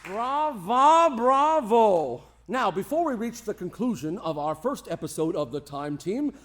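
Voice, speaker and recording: Male radio show host: high-voiced, animated, grandiose and playful. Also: unhurried. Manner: grandiose, playful, formal introductions. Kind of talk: theatrical showman hosting.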